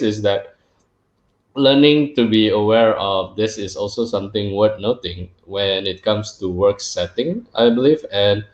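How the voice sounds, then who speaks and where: A man who grew up in Singapore, hosting a casual interview, talking in a thoughtful way and getting emphatic when he jokes. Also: lowish voice. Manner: thoughtful, reflective, grows emphatic when joking. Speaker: podcast host. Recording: echoey, dull lo-fi room sound.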